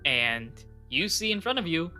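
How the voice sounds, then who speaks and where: Bright-voiced young game master. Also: male voice, fairly high pitch, big pitch swings, normal speed, faint music behind. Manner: casual, filler-heavy narration. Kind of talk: narrating a tabletop game.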